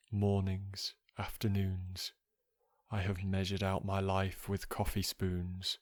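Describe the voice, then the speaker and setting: Male poetry reader: soft, hushed and very even in tone, slow measured phrasing with deliberate pauses, soothing relaxation style. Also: deep voice, dry recording.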